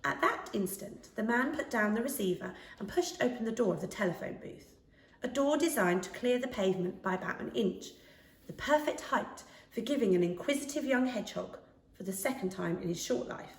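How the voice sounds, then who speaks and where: A woman reads a children's story with great expression. Her voice is fairly high and moves widely in pitch, and the room around it is clearly echoey. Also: animated, a little fast. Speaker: storybook reader. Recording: echoey room, distant microphone.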